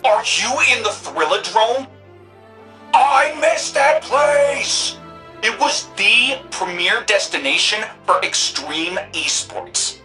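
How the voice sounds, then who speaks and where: A playful, excitable futuristic comms operator who stretches words out slowly with big swoops of pitch. The voice is bright and casual, game dialogue over music. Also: female voice. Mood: excited. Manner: casual, playful, exaggerated drawn-out delivery.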